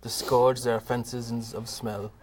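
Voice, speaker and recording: A man narrating the stage directions of an amateur comedy radio play in a plain, steady reading voice. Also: mid-range voice, measured pace, slight background noise.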